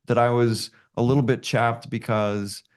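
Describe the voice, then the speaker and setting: A man's voice: mid-range voice, fairly even intonation, a little slow. A man in his fifties co-hosting a casual podcast, affable and full of easy agreement. His voice sits in an ordinary middle range. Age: 50s. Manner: agreeable, many yeahs, easy laughter. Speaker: podcast co-host. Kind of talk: casual reminiscing chat.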